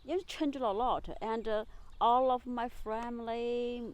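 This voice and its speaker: A woman from China, a young mother speaking slowly and searching for words in a documentary interview. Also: a little high, fairly even intonation, a little mellow. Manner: halting, searching for English words. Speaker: migrant mother.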